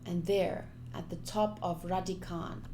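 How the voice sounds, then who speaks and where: A woman streamer doing a live let's-play of a visual novel, reading text aloud and reacting in an expressive, chatty way. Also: on the low side, measured pace, slight background noise.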